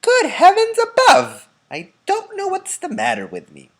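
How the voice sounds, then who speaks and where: Man performing a storybook grandfather in his nineties, eager, boastful, emphatic, very animated pitch. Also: exaggerated voice. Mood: eager, astonished. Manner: exclamatory, emphatic, drawn-out boasting. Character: frail, very old grandfather turned excited, boasting storyteller. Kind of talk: children's storybook read-aloud.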